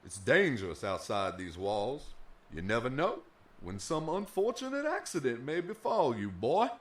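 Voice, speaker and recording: An actor playing a sneering, condescending authority figure in an audio drama, delivering veiled threats with mock friendliness. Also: male voice, medium pitch, very animated, moderate pace, lightly acted. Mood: mock-friendly, menacing. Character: sneering, smug, menacing male authority running a prison.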